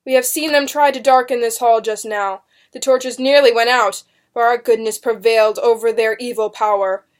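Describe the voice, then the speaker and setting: A woman reader puts on a stern male judge from a witch-trial storybook. He is loud and forceful, with a harsh, sneering, accusing manner and an air of total command. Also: fairly high pitch, steady tone, moderate pace, lightly acted. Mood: righteous declaiming. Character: booming, sneering witch-trial judge, tall dark-robed man. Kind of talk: dramatic storybook reading.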